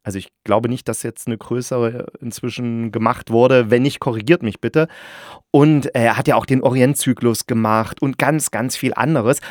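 A man's voice: medium pitch, no echo, studio quality. A man hosting a podcast in a casual, chatty style, often correcting himself as he reviews. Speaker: podcast host and radio drama reviewer.

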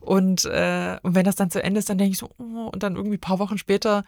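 Female podcast guest, mid-pitched, informal and slangy conversational delivery, dry studio-like closeness. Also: steady tone, fast talker, creaky, darkish tone, no room sound. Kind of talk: casual chat.

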